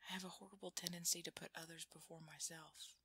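A woman performs a shaken, affectionate girlfriend in an intimate ASMR audio roleplay. Her voice is very breathy and mostly whispered, with a creaky edge. The delivery is halting and close, recorded very dry. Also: lowish voice, steady tone, sharp tone, no room sound, heavily acted. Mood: soothed, apologetic.

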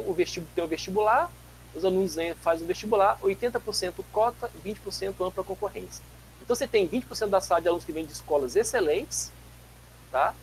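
A man in his forties from Brazil speaks over a webcam in a home office, his voice sitting a little high for a man. He lectures in a lively, story-telling way, stumbling and restarting as he goes. A faint hum runs underneath the call. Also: low-quality recording. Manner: animated, gestures a lot, tells anecdotes with many restarts. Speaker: guest lecturer, physics teacher. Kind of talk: casual lecture on a video call. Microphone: webcam mic on a video call.

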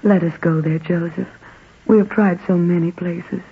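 An actress playing a gentle, weary, patient young wife in a 1940s radio drama, soft and tired. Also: female voice, on the low side, steady tone, moderate pace, slightly rough. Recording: thin, phone-like 1940s radio recording.

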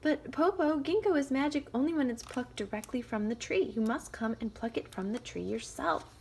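Woman doing a clever girl, high and bright. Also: moderate pace, a little hiss or hum. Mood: eager. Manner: bright, eager and lively. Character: clever eldest girl, bold and quick-witted.

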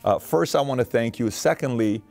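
A man's voice: medium pitch, moderate pace, creaky. A man in his thirties from Iran, hosting a studio interview, talking earnestly with lots of fillers and gestures. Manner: animated, earnest, with many fillers and hand gestures. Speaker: host. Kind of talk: interview and show intro. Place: studio set with wood-panel wall.

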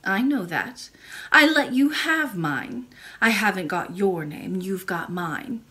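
A woman voicing a very old, kindly and mysterious royal great-great-grandmother in a storybook, speaking in a calm, measured way. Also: medium pitch. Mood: calm, knowing. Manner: measured, gently assured.

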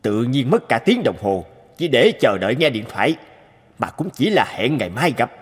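A man's voice: medium pitch, big pitch swings, normal speed, slightly rough. A man voicing a busy, grumbling male research scientist in a cartoon, with a harried manner. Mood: exasperated. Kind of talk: cartoon voice acting.

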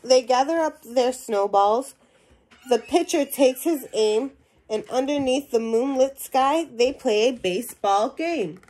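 A woman's voice: high-pitched, measured pace, a little mellow, rough recording. A young woman reading a children's picture book aloud, adding simple questions and asides for young listeners. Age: young adult. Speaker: storybook reader. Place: small indoor room at home.

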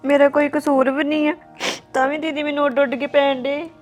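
A woman's voice: high voice, little pitch movement, a little fast, faint music behind, lightly acted. An actress playing a young wife who complains tearfully that she is blamed for nothing. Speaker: young wife in a family quarrel. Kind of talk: family drama acting.

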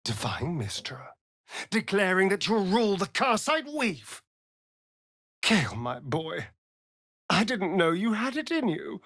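Sly theatrical vampire rogue, swooping drawl. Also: male voice, fairly high pitch, no echo, studio quality. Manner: arch, theatrical, drawling delivery with biting sarcasm. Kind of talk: video game character lines.

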